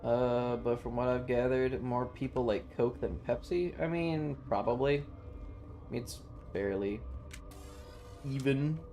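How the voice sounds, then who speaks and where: A man's voice: mid-range voice, slow pace, warm tone, faint music behind. A man livestreaming a video game with casual, slangy, swearing chatter and jokey commentary. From Southern United States.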